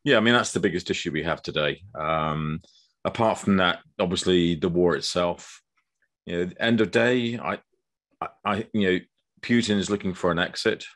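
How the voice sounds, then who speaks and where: Older English guest author, very low voice, measured video-call explainer. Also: male voice, a bit bright. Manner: measured, explanatory, with frequent ums. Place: dim room indoors.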